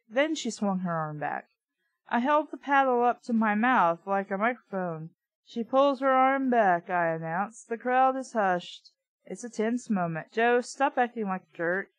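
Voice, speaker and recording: A woman's voice: on the high side, no room sound. A woman reading a book aloud casually, with blunt, sweary, opinionated off-the-cuff commentary. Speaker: reader and commentator of a children's book.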